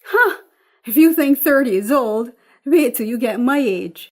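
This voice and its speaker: A woman's voice: on the high side, expressive, a little slow, a little mellow, pitched above the performer's own voice. A woman voicing a brash, teasing mother of sixty in a dramatic script reading, nagging and colloquial. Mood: mocking.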